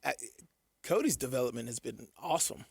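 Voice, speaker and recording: Gravelly man, casual sports co-host. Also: mid-range voice, big pitch swings, fast talker, dry recording, clean studio sound. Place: radio studio.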